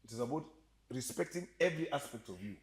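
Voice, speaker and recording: A man with a slight Ugandan accent telling stories as a podcast guest, animated and joking, often laughing. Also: mid-range voice, fast talker. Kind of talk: storytelling interview chat.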